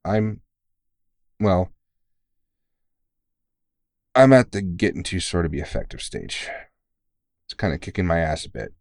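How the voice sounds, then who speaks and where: Man acting as seasoned vampire hunter, low voice, weary, kindly mentor, teasing and sweary, comfort audio roleplay, close dry sound. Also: very animated, measured pace, creaky, pauses often, a little mellow, no echo, exaggerated voice. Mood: fond, reassuring. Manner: informal, teasing, reassuring, sweary. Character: tired, kindly veteran male vampire hunter mentoring a rookie.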